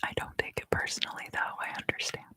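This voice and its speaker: A woman whispering a soothing ASMR tarot reading in a breathy, mostly unvoiced voice, taking her time. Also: bright, forceful tone, no room sound. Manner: soothing, unhurried.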